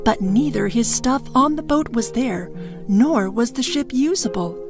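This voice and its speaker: A woman's voice: fairly high pitch, animated, a little slow. A woman with an American accent reading a scripted children's story as a storyteller. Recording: music behind the voice.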